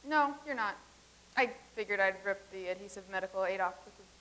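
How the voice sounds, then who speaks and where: A woman's voice: mid-range voice, brisk, fairly bright tone, natural voice. An actress playing a matter-of-fact woman from the far future in a staged comedy reading.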